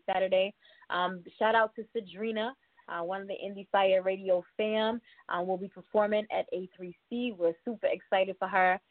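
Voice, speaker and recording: A woman's voice: medium pitch, dry recording. Woman radio host over a phone line. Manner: encouraging, many fillers like um and you know. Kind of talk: casual radio interview. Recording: phone-line quality, dry.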